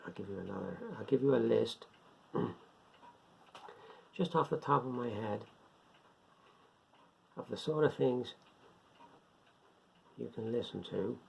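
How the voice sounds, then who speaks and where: A man giving an informal, unscripted beginners' explainer in a rambling, step-by-step way, repeating himself, with a gravelly, husky voice. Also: medium pitch, slow, pauses often, a little hiss or hum. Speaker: hobbyist presenter of a beginners' guide. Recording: dull lo-fi home recording.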